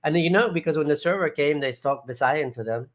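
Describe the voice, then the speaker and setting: A man's voice: fairly high pitch, a little fast. A man hosting a casual vlog chat, explaining things and prompting his co-host with questions.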